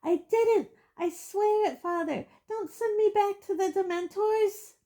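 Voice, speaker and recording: A woman's voice: measured pace, dark tone. A woman voicing a terrified teenage boy who shrieks and pleads with his father. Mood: desperate pleading. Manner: shrieking, pleading, high and frantic.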